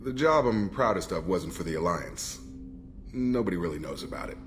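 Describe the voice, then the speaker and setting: A man's voice: deep voice, expressive, moderate pace, slight background noise. A man playing a serious, principled ex-military operative in a video game, speaking matter-of-factly. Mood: earnest, steady. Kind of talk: video game dialogue acting.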